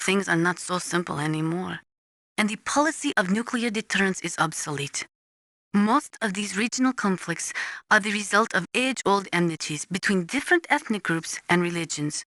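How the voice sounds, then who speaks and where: Nuclear analyst, video game briefing, earnest, precise, didactic, bright timbre, mid pitch. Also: female voice, animated, normal speed, no echo, studio quality. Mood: grave, regretful. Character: earnest female nuclear weapons analyst advising a soldier. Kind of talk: scripted expository video game voice acting.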